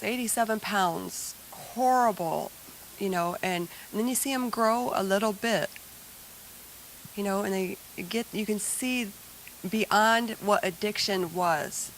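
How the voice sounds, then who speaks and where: A woman on a recovery panel, speaking haltingly and with feeling about people lost to addiction. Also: medium pitch, slight background noise, low-quality recording. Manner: halting, searching for words. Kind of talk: emotional personal testimony.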